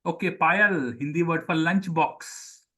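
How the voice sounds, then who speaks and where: A man with an Indian accent and a somewhat high voice leads an online language lesson. A native Sindhi speaker, he repeats words for his pupils and explains them as he goes. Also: slow, bright, forceful tone. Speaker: Hindi teacher.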